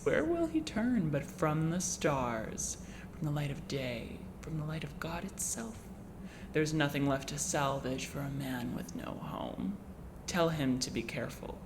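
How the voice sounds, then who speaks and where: A woman playing a grave oracle, solemnly intoning a prophecy in an audio drama. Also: low-pitched. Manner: solemn, incantatory, deliberate. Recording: clearly noisy ambient background.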